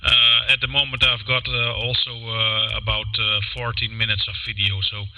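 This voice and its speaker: A man on a ham radio contact, his voice very bright and sharp in tone. He talks haltingly, stopping often to search for words and restarting his sentences. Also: mid-range voice, level tone, low-quality recording. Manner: halting, many fillers and restarts. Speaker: amateur radio operator.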